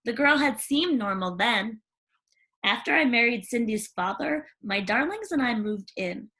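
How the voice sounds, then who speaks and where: A woman reading a children's story aloud in an expressive, theatrical way with dramatic pauses. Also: a little high. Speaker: storybook reader.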